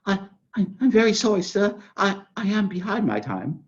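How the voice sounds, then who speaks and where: A man's voice: high voice, big pitch swings, normal speed. A meek clerk mumbling sums and stammering apologies, put on by a man reading aloud. The recording is dull and lo-fi. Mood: nervous. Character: timid, apologetic male clerk.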